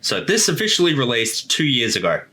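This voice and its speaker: Bright-voiced man giving casual commentary. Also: medium pitch, huge pitch swings, brisk. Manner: reflective, explanatory. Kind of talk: casual director's commentary chat. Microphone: room mic, no headphones.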